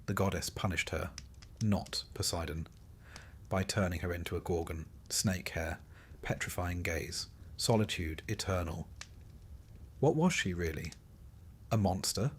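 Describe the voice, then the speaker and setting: A man reading a scripted sleep story as narrator, calm and measured, with dry wry asides. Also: lowish voice, slight background noise.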